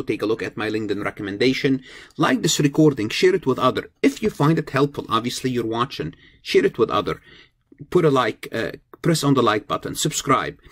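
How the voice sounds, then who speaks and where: Male lecturer, mid-pitched and slightly rough-edged, explanatory, repeats key phrases. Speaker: accounting lecturer. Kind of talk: instructional screencast lecture.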